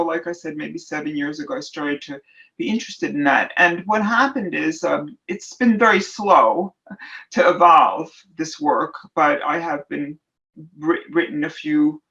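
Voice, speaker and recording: A middle-aged woman artist answering interview questions thoughtfully, pausing often and searching for words. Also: sits low, fairly bright tone, muffled recording. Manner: thoughtful, searching for words with many fillers and restarts. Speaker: artist and illustrator guest.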